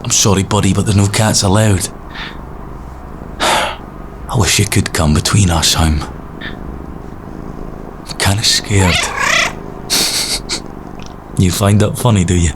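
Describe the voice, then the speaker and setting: A man acting a lonely, grieving character in an audio drama, hushed and hesitant as he talks to himself and his pet. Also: deep voice, steady tone, takes it slowly, pauses often, background noise. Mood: tender, sad.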